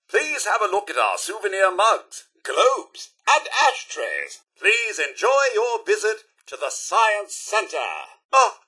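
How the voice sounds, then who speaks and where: An actor playing a polite, devoted robot butler in a video game, with a British accent and a prim, formal, dryly understated manner. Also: male voice, high-pitched, sharp tone, breathy edge, full character voice. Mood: cheerfully promotional, then distressed. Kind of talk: video game companion voice lines.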